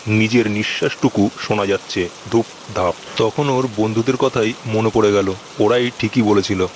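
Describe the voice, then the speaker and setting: A man's voice: lowish voice, moderate pace. Male horror storyteller, noisy background. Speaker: narrator. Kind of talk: scripted horror story narration. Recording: noisy recording with background ambience.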